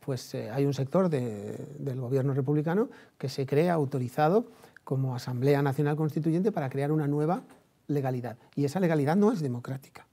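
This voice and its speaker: A man from Spain with a Spanish accent gives conversational commentary on history and politics in a discursive, halting way, repeating phrases and adding tag questions. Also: mid-range voice, dark tone.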